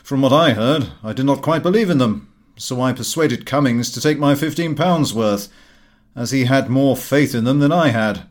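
Man putting on a loud, bluff, hearty gentleman. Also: medium pitch, normal speed, natural voice. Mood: smug. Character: bluff, hearty middle-aged gentleman friend, cheerfully blunt. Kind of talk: audiobook character voice.

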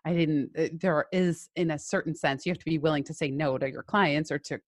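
A woman's voice: low-pitched, steady tone, brisk, no room sound, studio quality. A woman who is a self-employed entrepreneur, talking candidly and with animation as a guest in a casual interview.

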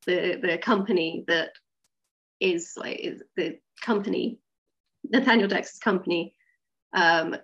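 A woman's voice: fairly low pitch, fairly bright tone, muffled recording. A woman writer talking casually as an interview guest, explaining things thoughtfully and piece by piece.

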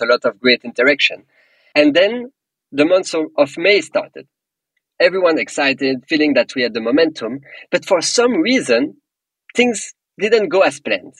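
A startup founder from Belgium speaks English with a marked French accent. His voice is slightly high and husky, and the sound is dry and close. He talks earnestly, with the odd grammar slip. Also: a bit bright, no echo, poor audio. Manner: earnest, motivational, with non-native grammar slips. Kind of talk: podcast interview answers.

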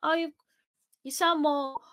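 A woman's voice: high voice, fairly even intonation, unhurried, fairly bright tone, dry recording, clean studio sound. A woman from Uganda with a mostly English accent carrying Ugandan traces, chatting casually and laughing often. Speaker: podcast guest co-host. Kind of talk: casual chat.

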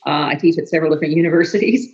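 A hoarse woman, a college professor, talks as a guest and tells stories in a halting way, with fillers and restarts. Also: sits low, a little mellow, lo-fi sound. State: hoarse, losing her voice. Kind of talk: casual interview storytelling.

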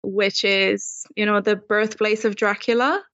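Woman from Romania with a creaky voice. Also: mid-range voice, fairly even intonation, a little slow, a bit bright, no room sound, lo-fi sound. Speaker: podcast guest, food blogger. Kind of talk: casual interview storytelling.

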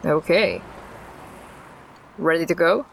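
A woman playing a guarded investigator in an audio drama, recounting an unsettling case in a halting, deliberate way. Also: on the low side, vocal fry, a little hiss or hum, low-quality recording. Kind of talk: audio drama dialogue, storytelling.

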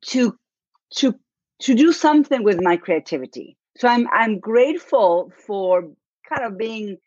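A woman's voice: on the high side, expressive, unhurried, no echo. Woman, forties, Argentine accent, chatty storytelling, dull lo-fi dry sound. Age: 40s. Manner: warm storytelling with frequent fillers and laughs. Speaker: guest, business coach. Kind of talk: interview conversation.

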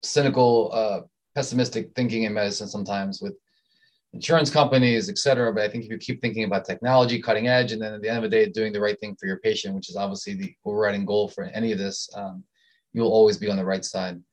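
A man's voice: on the low side, fairly even intonation, fast. A man from Southern Maryland who co-hosts a medical podcast. He chats casually and often repeats words as he starts sentences. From Southern Maryland, USA. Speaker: podcast co-host, physician. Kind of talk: casual interview chat.

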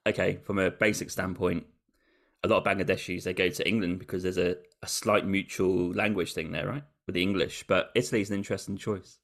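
A man hosting a podcast speaks in a very deep voice with a gravelly fry. He keeps the chat easy, asking short, friendly questions. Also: steady tone, a little fast. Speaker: podcast host. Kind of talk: casual interview conversation. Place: on a road trip in Quebec.

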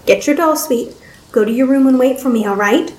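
A woman's voice: sits high, brisk, a little mellow, reverberant, pitched above the performer's own voice, close to an everyday voice. Woman playing an insistent, desperate scientist father. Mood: soothing. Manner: insistent, emphatic. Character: desperate, protective scientist father, earnest man. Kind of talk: audiobook character voice.

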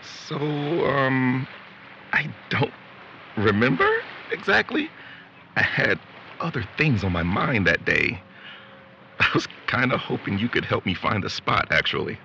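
A man's voice: medium pitch, sing-song, measured pace, gravelly, bright, forceful tone, heavily acted. A man acting an awkward, wistful young boyfriend in a naturalistic audio drama, talking hesitantly. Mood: awkward, casual. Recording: dull lo-fi audio with faint background noise.

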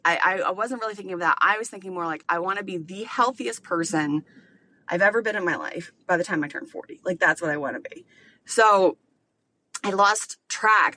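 A woman's voice: fairly low pitch, bright, forceful tone. A woman in her late thirties hosting a podcast, talking through a casual solo monologue in a candid, rambling way full of filler words. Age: late 30s. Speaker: podcast host.

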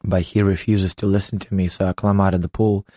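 A man in his late twenties from Ukraine, telling his own story as a former competitive swimmer in a slow, halting way. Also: deep voice, level tone, dark tone, no echo, clean studio sound. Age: late 20s. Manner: unhurried, halting, recounting a memory. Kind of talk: personal storytelling.

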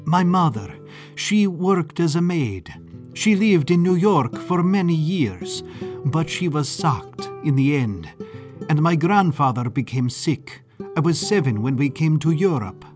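A man voicing a young woman of a traveling caravan, who talks brightly and earnestly. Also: low voice, big pitch swings, measured pace, faint music behind, pitched above the performer's own voice. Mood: wistful. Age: 20s. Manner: bright and chatty, turning grave.

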